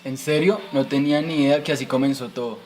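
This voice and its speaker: A man's voice: mid-range voice, fairly even intonation, fast talker, darkish tone, faint music behind, lightly acted. A man playing a reluctant, sceptical young son who questions things in an amateur radio drama. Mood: puzzled, curious.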